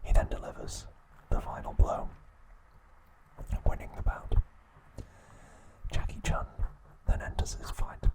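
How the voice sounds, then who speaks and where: A man narrating ASMR in a hushed, breathy whisper, in short measured phrases. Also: poor audio. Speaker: ASMR host. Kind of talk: whispered ASMR narration, recounting episode summaries.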